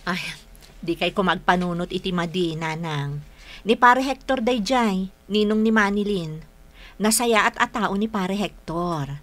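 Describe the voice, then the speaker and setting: A woman's voice: mid-range voice, a little slow, lightly acted. A woman with an Ilocano accent playing a married young mother in a radio drama, dutiful and firm but easily flustered. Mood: reassuring.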